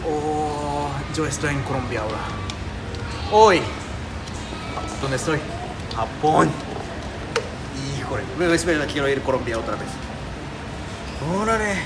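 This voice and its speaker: A man in his thirties with a Japanese accent, speaking as a non-native speaker in a playful, halting, casual vlog style. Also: fairly high pitch, animated, music in the background. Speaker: vlog host. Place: beside vending machines. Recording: loud ambient machine and traffic noise.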